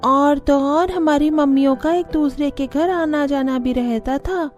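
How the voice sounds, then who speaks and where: A woman's voice: sits high, measured pace, faint music behind. A woman voicing a chatty, gossiping village neighbour in a cartoon story. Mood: chatty, knowing.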